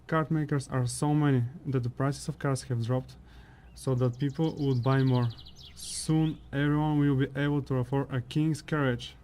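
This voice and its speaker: A man's voice: medium pitch, fairly even intonation, moderate pace, mellow, slight background noise, lightly acted. A man playing a brooding, sardonic chimera wanderer in a fantasy audio drama, grumbling his way through monologues. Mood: grumbling, fed up.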